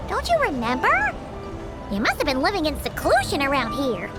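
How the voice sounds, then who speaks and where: A woman voicing an excitable, childlike little fairy companion in a video game, chirpy in manner. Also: high-pitched, normal speed, music in the background. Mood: curious, teasing. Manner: chirpy, refers to self in third person.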